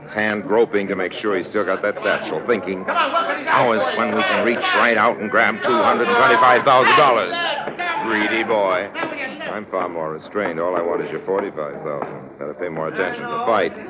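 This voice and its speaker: A man's voice: on the low side, animated, normal speed, close to an everyday voice. An actor playing a suave, wry swindler who narrates his own con in a confiding 1950s radio drama style. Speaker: narrator and lead character. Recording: old radio recording with crowd noise.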